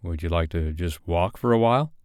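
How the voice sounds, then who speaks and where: A man voicing a calm, patient young man who speaks in a soft, coaxing way. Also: low voice, fairly quick, smooth, no echo, clean studio sound, close to an everyday voice.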